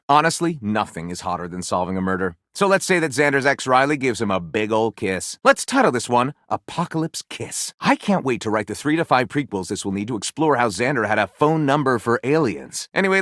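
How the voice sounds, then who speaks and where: A man's voice: mid-range voice, fairly bright tone, dry recording, clean studio sound. A man voicing a talking book in a video game, a glib and sardonic literary critic with theatrical enthusiasm, speaking in chatty monologue. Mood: playful, upbeat. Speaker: dateable game character reviewing and titling stories. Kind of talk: video game character voice acting, conversational monologue.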